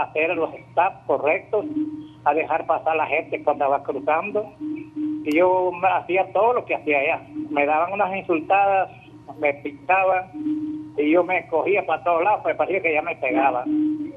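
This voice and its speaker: Male caller, 60s, husky, tinny phone line, chatty and plainspoken. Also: on the high side. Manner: chatty, plain, a bit halting. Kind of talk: phone-in call, casual storytelling. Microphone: telephone.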